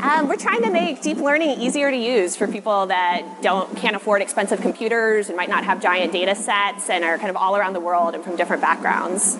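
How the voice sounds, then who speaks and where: A woman's voice: medium pitch, a little fast, few pauses. A woman in her thirties with an American accent, giving brief, thoughtful answers in a casual interview. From Texas, United States. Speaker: interviewee, machine learning researcher. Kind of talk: casual rapid-question interview. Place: outdoor covered city walkway. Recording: outdoor city ambience noise.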